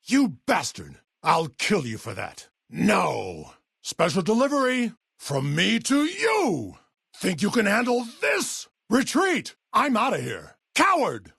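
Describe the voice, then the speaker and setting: An actor playing a weary, level-headed super mutant town leader in a video game, with a deep, gravelly, husky voice and a blunt, measured, authoritative manner. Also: male voice, expressive, darkish tone, no room sound, clean studio sound. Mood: battle fury. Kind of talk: video game character voice lines.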